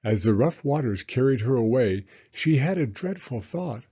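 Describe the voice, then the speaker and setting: A man narrating a children's story as an expressive read-aloud. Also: mid-range voice, normal speed, slightly rough. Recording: slightly dull, muffled audio.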